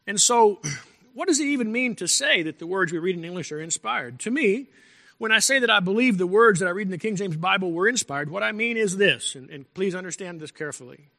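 A male pastor delivers a sermon with a slightly high, bright, forceful voice. He speaks deliberately and with emphasis, repeating key phrases and clearing his throat from time to time. His voice rings out with a long echo, as in a large church hall. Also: lively intonation. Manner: clears throat now and then.

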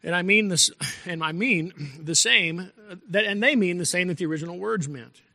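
A male pastor delivers a sermon with a slightly high, bright, forceful voice. He speaks deliberately and with emphasis, repeating key phrases and clearing his throat from time to time. His voice rings out with a long echo, as in a large church hall. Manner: clears throat now and then.

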